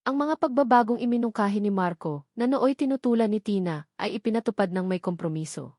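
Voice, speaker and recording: A woman narrates scripted romance fiction with dramatic storytelling delivery. Her voice sits at a medium pitch, and the recording is close and very dry. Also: a little mellow, no echo.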